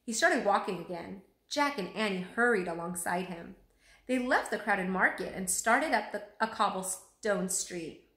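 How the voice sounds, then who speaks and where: A woman reading a children's story aloud in an animated, expressive way. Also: medium pitch, a little slow. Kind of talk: expressive storybook read-aloud with character voices. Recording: roomy echo.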